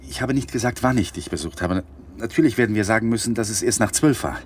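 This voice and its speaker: A shaken, evasive young widower in a radio crime drama, played by a male actor. His voice is low, and he speaks in a hesitant, subdued manner. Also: fast talker, slight background noise, lightly acted. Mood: agitated, secretive. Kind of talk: 1980s radio play acting.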